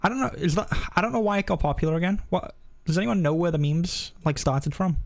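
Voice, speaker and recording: A man's voice: sits high, quick pace, creaky, no echo. A man chatting casually on a live game stream, rambling and often interrupting himself.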